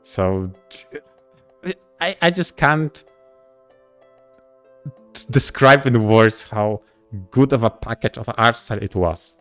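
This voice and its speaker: A man's voice: medium pitch, expressive, slow, pauses often, a bit bright, clean tone. A man co-hosting a casual podcast, effusive and emphatic in the way he agrees and reacts. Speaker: podcast co-host. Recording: music playing behind the voice. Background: background music.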